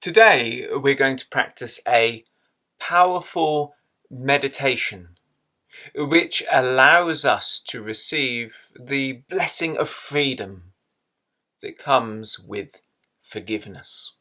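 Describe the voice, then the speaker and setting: Slow-speaking man guiding a meditation. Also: medium pitch, sharp tone. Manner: slow, measured, with long pauses between phrases. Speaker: meditation guide. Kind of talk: guided meditation instruction.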